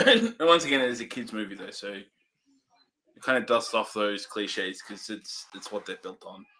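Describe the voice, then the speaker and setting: A man with a bright, forward tone, co-hosting a casual film review. He weighs his words and qualifies his views as he talks. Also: on the low side, animated, a little hiss or hum. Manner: measured, hedging opinions. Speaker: movie review co-host.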